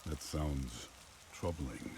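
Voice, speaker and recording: An actor playing a stern, stoic warrior hero in a video game, terse and commanding. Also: male voice, rumbling low, drawn-out words, noisy background, full character voice. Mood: guarded.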